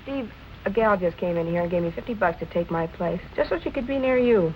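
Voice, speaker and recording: A woman's voice: medium pitch, animated, brisk, a little mellow, a little hiss or hum, natural voice, rough recording. An actress playing a wry, wisecracking nightclub photographer girl in a 1940s film noir style. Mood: teasing.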